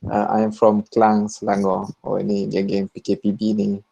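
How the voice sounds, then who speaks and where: Halting Malaysian man lecturer. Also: lowish voice, completely flat delivery, gravelly, dark tone, highs cut off. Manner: halting, repeats words, mixes in Malay. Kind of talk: online class chat. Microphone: video call.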